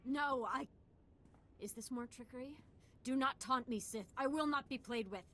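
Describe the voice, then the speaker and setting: A woman's voice: sits high, moderate pace, pushed and bright. An actress playing an intense, idealistic young female Jedi apprentice in a video game, with a troubled, earnest and emotional delivery. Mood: defiant. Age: young adult.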